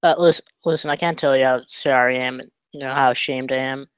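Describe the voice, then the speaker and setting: A man acting a remorseful, awkward ex-prisoner in a film script read, with a halting, apologetic way of talking. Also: medium pitch, steady tone, clean tone, no echo, close to an everyday voice. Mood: ashamed, pleading.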